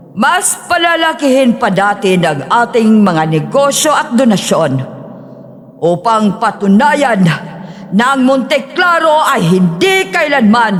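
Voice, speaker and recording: A woman playing a rich, scheming sixty-year-old matriarch, speaking in an imperious, measured, formal way in an audio drama. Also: on the high side. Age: older. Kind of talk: dramatized audio story acting. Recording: long hall reverb.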